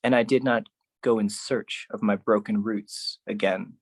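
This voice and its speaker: Solo performer for theatrical spoken-word poetry, mid-pitched voice, slow and deliberate dramatic phrasing. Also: fairly even intonation, husky.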